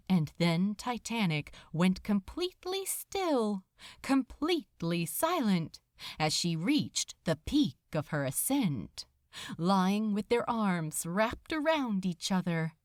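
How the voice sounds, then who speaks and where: A woman narrates an audiobook with solemn, suspenseful drama. Her voice sits fairly high and moves at a very unhurried, deliberate pace. The sound is very dry and intimate. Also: no echo. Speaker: podfic narrator. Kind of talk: dramatic scripted story narration.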